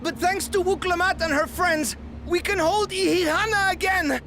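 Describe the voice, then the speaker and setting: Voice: extremely high pitch, normal speed, background noise. An actor voicing a small, earnest bird festival leader in a video game, speaking with impassioned, proud devotion. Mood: grateful resolve.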